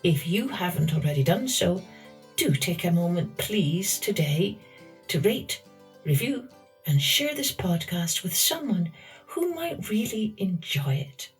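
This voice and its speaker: Slow-paced woman telling children's stories. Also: fairly low pitch, big pitch swings, husky, soft background music, rough recording. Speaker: podcast host and storyteller. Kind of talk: scripted children's storytelling narration.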